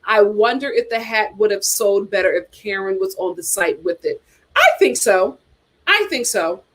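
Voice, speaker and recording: A woman's voice: medium pitch, animated, normal speed, fairly bright tone. A woman hosting a casual reality TV chat, reading viewer comments aloud with dramatic exclamations.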